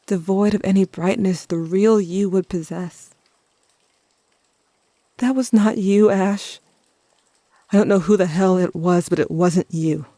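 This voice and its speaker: A performer as a grieving teenager telling their story in an audio drama. The voice is mellow and mid-pitched, intimate and dramatic with wry asides. It is recorded close and dry. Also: no room sound, lightly acted. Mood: uneasy, grieving. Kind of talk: first-person audio drama narration.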